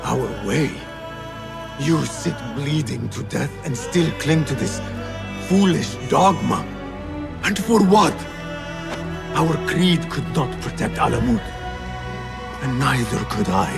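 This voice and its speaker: An actor playing a grim, vengeful young assassin with intense, formal delivery in a game cutscene. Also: male voice, medium pitch, lively intonation, slow pace, vocal fry, pauses often, music in the background. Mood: bitter anger. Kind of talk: dramatic video game cutscene acting.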